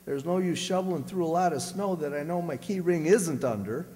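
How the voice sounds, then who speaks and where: Man playing a grumpy, higher-voiced blustering husband. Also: fairly quick, dark tone, lightly acted. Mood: grumbling. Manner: grumbling, wisecracking, folksy. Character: grumpy, blustering, scheming husband in radio comedy. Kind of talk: old-time radio comedy acting. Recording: very reverberant hall stage recording.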